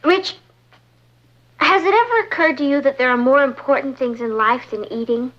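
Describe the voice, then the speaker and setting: A young woman playing a melodramatic, scheming, excitable teenage girl, theatrical and emphatic, in a vintage TV sitcom. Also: sits high, normal speed, highs cut off. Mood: evasive.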